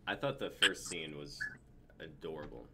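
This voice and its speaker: A man's voice: a bass voice, animated, normal speed, vocal fry. A man in his twenties chatting casually with a group on a video-call livestream. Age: 20s. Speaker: member of a script-reading group. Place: indoors at home, video call. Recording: noisy video call audio.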